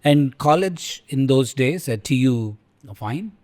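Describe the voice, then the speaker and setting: A man with a mid-pitched voice hosting an interview podcast. He speaks in a measured, formal way as he introduces his guest and asks questions. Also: expressive, darkish tone. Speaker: podcast host.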